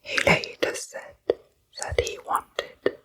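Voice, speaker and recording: A woman whispers a true crime account for ASMR, close to the mic and unhurried. Her breathy whisper has a crisp, bright edge, and she draws every phrase out very slowly. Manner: soft, slow, deliberate whispering throughout. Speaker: ASMR narrator.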